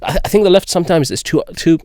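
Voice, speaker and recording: A man co-hosting a podcast who chats in a quick, informal and jokey way. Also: a little high, dark tone. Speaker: podcast co-host. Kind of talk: casual podcast conversation.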